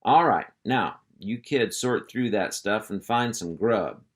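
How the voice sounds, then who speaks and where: A man's voice: on the low side, expressive, slow. A man voicing a boastful, self-important male cow dog who bosses a pack of pups, with a folksy drawl. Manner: folksy drawl, bossy and blustering.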